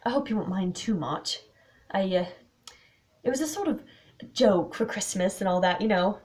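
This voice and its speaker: A woman's voice: mid-range voice, animated, moderate pace, darkish tone, close to an everyday voice. Woman as a sheepish, faltering English schoolboy. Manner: faltering, awkward. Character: hesitant, apologetic English schoolboy prankster.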